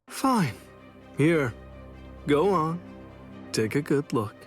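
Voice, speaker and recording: An actor in an English anime game dub playing a cocky, teasing masked Soul Reaper with a sardonic, theatrical delivery. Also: male voice, fairly high pitch, big pitch swings, very slow, full character voice. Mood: smug, taunting. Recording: music behind the voice.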